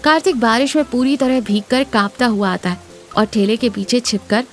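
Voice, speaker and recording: A woman's voice: on the high side, moderate pace, soft background music. A woman reading scripted narration for a cartoon moral story.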